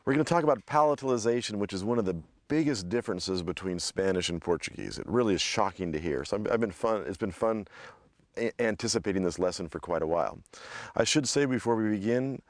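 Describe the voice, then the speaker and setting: A man hosts a language lesson podcast with a slightly low voice that is a little scratchy today. He sounds upbeat, explains things slowly and laughs easily. The sound is dry and close. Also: lively intonation, no echo. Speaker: podcast host and language teacher.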